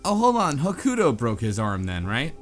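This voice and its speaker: A man's voice: mid-range voice, huge pitch swings, moderate pace, faint music behind. Rambling man hosting a casual chat. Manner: rambling, thinking aloud with many restarts. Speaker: podcast host. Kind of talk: casual group discussion.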